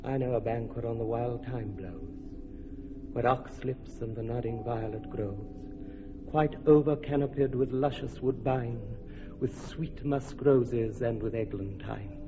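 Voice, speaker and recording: An actor playing a commanding male fairy king in a classic radio drama, speaking verse grandly and dropping to a sly hush. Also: medium pitch, a little slow, natural voice. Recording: muffled old radio play with long reverb and music.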